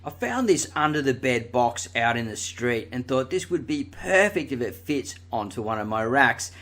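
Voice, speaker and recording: A man hosting a casual gardening tutorial, chatty and full of fillers, his voice slightly croaky. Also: mid-range voice, a bit bright, echoey room, recorded from a distance. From Australia. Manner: chatty, many "uh" and "you know" fillers. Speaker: gardening channel host.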